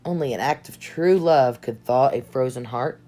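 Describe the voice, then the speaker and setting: A woman reading a children's storybook aloud in expressive narration, with dramatic emphasis on key words. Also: a bass voice, moderate pace. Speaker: storybook reader. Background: soft music.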